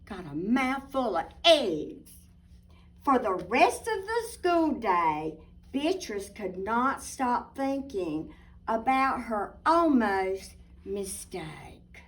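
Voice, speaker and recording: A woman reading a picture book aloud expressively, deliberate and emphatic, with little asides to young listeners. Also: fairly high pitch, very animated. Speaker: children's storybook reader, teacher. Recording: distant microphone.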